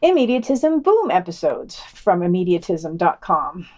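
A woman's voice: on the low side, big pitch swings, unhurried, darkish tone. A woman co-hosting a podcast, reading a scripted news round-up and dropping in wry, sardonic asides. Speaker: podcast co-host.

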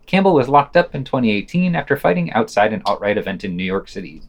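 A man's voice: mid-range voice, big pitch swings, fast talker. A man presenting a podcast, reading scripted reviews with dry commentary. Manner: reads quotations aloud, marking quote and unquote.

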